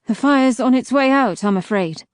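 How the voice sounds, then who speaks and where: Woman voicing a wary young gal, short clipped answers, higher and louder than the narration, dry sound. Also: animated, moderate pace, no echo. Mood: guarded. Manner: terse, guarded. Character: wary young woman traveler, wounded, guarded, sharp.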